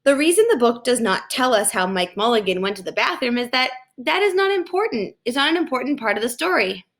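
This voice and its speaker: A woman reading a storybook as a gentle, patient kindergarten teacher who enunciates carefully. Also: fairly high pitch, sing-song, fairly quick. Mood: patient, explaining. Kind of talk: children's storybook read aloud.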